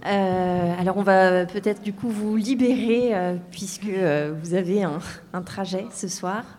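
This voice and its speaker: A woman's voice: mid-range voice, unhurried, a little mellow, slight background noise. Hesitant woman interviewer. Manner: hesitant, many fillers. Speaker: interviewer, host. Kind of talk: radio interview.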